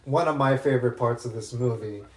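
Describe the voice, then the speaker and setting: A man in an echoey room, chatting casually about movies. He rambles, often restarts his sentences, and gets eager about what he likes. His voice sits at an ordinary middle pitch. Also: a little fast. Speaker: podcast co-host.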